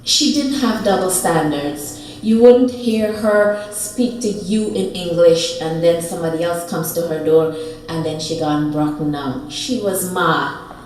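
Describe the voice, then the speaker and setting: A woman giving a tender, measured eulogy for her grandmother at a funeral. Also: on the low side. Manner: slow, tender, measured delivery with soft fond asides. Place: funeral hall. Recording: echoey hall from far off, faint noise.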